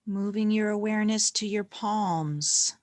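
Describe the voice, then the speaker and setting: A woman leading a guided meditation in a gentle, calm, unhurried manner with long pauses between phrases. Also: medium pitch, dry recording, clean studio sound.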